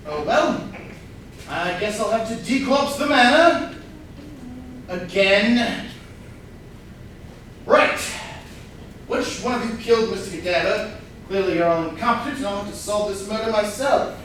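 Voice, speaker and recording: A man playing a haughty, exasperated butler turned sleuth in a farcical mystery, condescending and deliberate, at a staged reading. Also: high voice, a bit bright. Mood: haughty, disdainful. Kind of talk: staged comedy play reading. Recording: echoey hall, far mic, faint noise.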